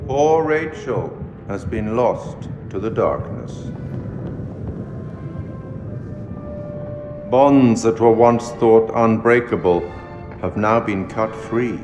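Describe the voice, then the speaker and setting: Slow, ominous keeper of tales. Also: male voice, on the low side, lively intonation. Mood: somber, musing. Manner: grave, deliberate, cryptic, formal. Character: mysterious, ominous male curator and keeper of tales. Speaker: narrator addressing the player. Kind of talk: theatrical game narration. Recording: echoey hall with loud music behind.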